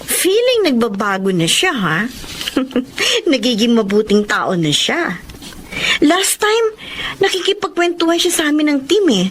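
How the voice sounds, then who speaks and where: A gossipy young Filipino office woman with a very high, swooping voice. She chatters in radio drama style, with some noise behind her. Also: very animated, measured pace, a bit bright, full character voice. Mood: amazed, warming.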